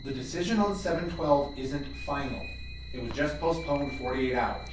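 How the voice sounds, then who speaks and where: A man's voice: mid-range voice, moderate pace, natural voice. A man playing a dry, evasive government adviser in a radio drama. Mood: dry, hedging. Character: dry, evasive male government adviser called Doctor Robertson. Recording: distant, very echoey, noisy.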